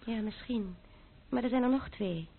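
An actress playing a young aristocratic French mademoiselle in a Dutch radio play, formal, deliberate and pointed, foreboding and ironic. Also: female voice, a little high, creaky, slight background noise. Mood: grave, ironic. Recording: old muffled narrow-band radio recording.

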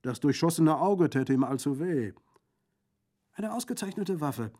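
A man's voice: on the high side, animated, unhurried, dark tone, pitched above the performer's own voice. A man voicing a boisterous Corsican bandit in an audiobook, jovial and exclamatory, with a gleefully mocking, gruesome humour. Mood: gleefully macabre. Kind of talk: audiobook character acting.

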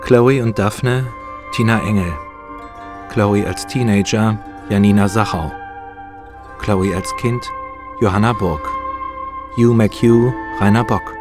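A male radio announcer reads credits in a formal, scripted way. His voice is fairly low and the delivery is slow and measured, with plucked guitar music clearly behind him.

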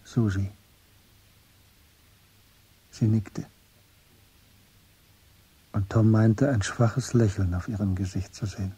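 A man narrating a literary crime radio drama in a measured, grave manner, with a husky, breathy voice. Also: on the low side, steady tone, mellow.

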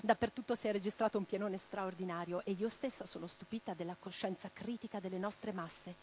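A woman's voice: mid-range voice, steady tone, brisk, a little mellow, a little hiss or hum, close to an everyday voice. An actress playing an impassioned middle-aged socialist revolutionary woman in a theatrical radio drama. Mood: weary, subdued. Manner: declamatory in speeches, intimate in private moments.

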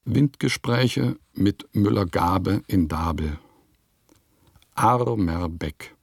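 An actor playing an eccentric, brooding provincial composer, reading out his terse diary in a deliberate literary radio-play style, savouring his words. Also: fairly low pitch, dark tone, no room sound, studio quality. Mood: relishing, lyrical.

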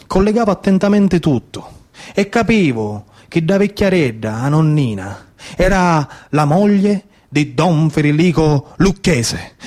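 A man's voice: on the high side, a little mellow. Sicilian man telling stories on stage. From Sicily, Italy. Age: 30s. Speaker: stage storyteller and actor. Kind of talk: theatrical monologue storytelling in dialect.

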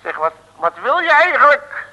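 An actor playing a blustering, wisecracking detective with colloquial, stammering delivery in an old radio serial. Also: male voice, very high voice, big pitch swings, moderate pace, pushed and bright, full character voice. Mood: alarmed. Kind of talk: 1960s radio serial acting. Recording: reverberant old radio recording.

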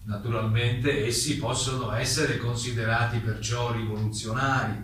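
Man as careful witness, polite playwright, deliberate delivery, radio play, strong room echo, slight noise. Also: mid-range voice, little pitch movement, a bit bright, close to an everyday voice. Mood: firm. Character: careful, courteous German playwright testifying before a commission. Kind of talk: theatrical radio drama dialogue. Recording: echoey room, distant mic, faint noise.

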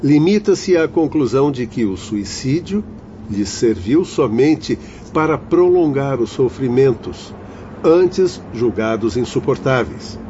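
Man acting a solemn learned doctor, muffled dark audio. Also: mid-range voice, close to an everyday voice. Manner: formal, didactic. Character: learned, solemn fatherly spirit doctor, male guide. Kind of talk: radio drama acting. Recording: dull lo-fi audio with faint noise.

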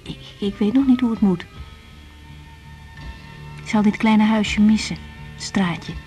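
An actress playing an earnest, weary young doctor in a radio drama, speaking in short reflective phrases. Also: female voice, mid-range voice, unhurried, warm tone, faint music behind, lightly acted. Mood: wistful. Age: young adult. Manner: reflective, intimate, clipped phrases. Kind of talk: radio drama acting with diary-like monologue.

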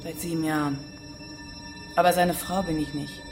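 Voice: mid-range voice, steady tone, moderate pace, music in the background, close to an everyday voice. An actor playing a curt, defiant village witness in a radio drama, blunt and colloquial. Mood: defiant, indifferent. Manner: blunt, colloquial, clipped answers.

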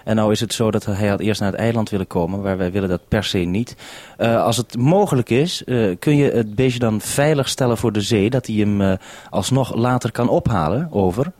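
A man's voice: lowish voice, brisk, darkish tone, no echo. A man interviewing informally as a radio programme maker, explaining and asking questions in unscripted talk. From Netherlands.

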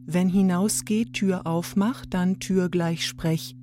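A woman playing a quirky museum audio guide that instructs in deadpan, clipped broken grammar in an experimental radio drama. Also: on the low side, takes it slowly, soft background music.

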